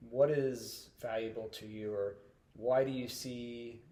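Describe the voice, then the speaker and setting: Host, male pastor, middle-pitched, loose and filler-heavy. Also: fairly even intonation, slow, mellow. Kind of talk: casual interview hosting.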